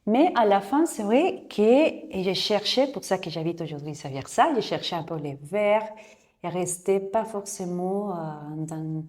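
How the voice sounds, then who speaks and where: A woman from Mexico, a guest in a casual interview, speaking French as a with frequent pauses and restarts, recorded in a yoga studio. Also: medium pitch, lively intonation, a little mellow. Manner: hesitant, many restarts and fillers.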